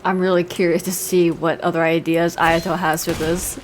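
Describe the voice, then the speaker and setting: A woman's voice: lowish voice, flat delivery, normal speed, a little hiss or hum. A young woman streaming a game with casual, giggly reaction commentary, full of slang and joking paraphrases. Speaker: gaming streamer.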